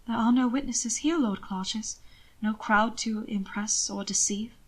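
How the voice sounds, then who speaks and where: A woman's voice: fairly high pitch, steady tone, a little slow. A woman voicing a prim, wary, sardonic young husband who speaks formally in an audiobook story.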